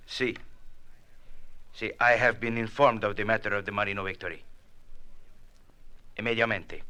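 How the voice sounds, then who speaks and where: A man's voice: medium pitch, sharp tone, exaggerated voice. A man playing a pompous, impatient Cuban official in radio drama, with a Spanish accent and formal, florid phrasing, on an old recording. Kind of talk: 1940s-50s radio drama acting.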